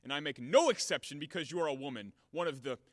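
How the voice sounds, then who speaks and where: An actor playing a brash, hot-tempered, blunt creditor in an audio play of a classic farce, with a blustering, theatrical, emphatic manner. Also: male voice, fairly high pitch, lively intonation, fast talker, no echo, clean studio sound, big-room sound, close to an everyday voice. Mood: outraged, blustering.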